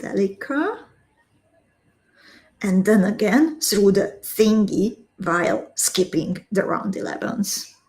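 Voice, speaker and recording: Slow-talking woman teaching beading. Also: mid-range voice, animated, a little mellow. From Slovakia. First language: Hungarian. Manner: slow, step-by-step instructions, chatting with viewers. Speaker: beading instructor. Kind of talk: live-stream craft tutorial.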